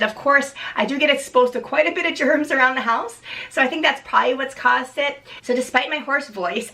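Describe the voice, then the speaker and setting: A woman in her thirties, hoarse from a cold, chatting casually through an unboxing vlog with frequent "um"s. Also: on the high side, bright, forceful tone, recorded from a distance. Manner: chatty, many restarts and "um"s. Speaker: vlogger.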